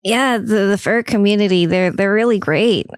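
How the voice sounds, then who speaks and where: A woman's voice: mid-range voice, normal speed, smooth, no room sound, studio quality, close to an everyday voice. A woman playing a forgetful, eager-to-please young furry artist in a comedy sketch, with a sheepish, hesitant delivery. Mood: eager, shy.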